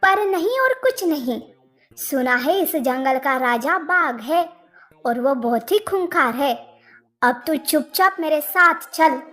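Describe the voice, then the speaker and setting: High, slow protective mother camel acted by a woman. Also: animated, a bit bright, soft background music, exaggerated voice. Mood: scolding. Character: protective, cautious mother camel, loving but firm. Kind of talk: children's animated story character voice.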